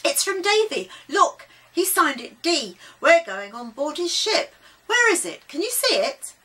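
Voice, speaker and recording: A woman voicing an excitable, eager young boy adventurer in a children's story, exclaiming breathlessly. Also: on the high side, measured pace, breathy edge, full character voice. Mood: excited.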